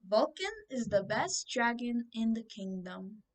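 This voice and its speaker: A woman's voice: a little high, animated, unhurried, far from the mic. Girl voicing a bossy, proud young dragon master.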